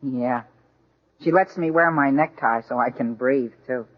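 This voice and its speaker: An actor playing an earnest, hesitant high school boy who stammers, in 1940s radio comedy style. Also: a little slow, natural voice. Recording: muffled vintage radio broadcast.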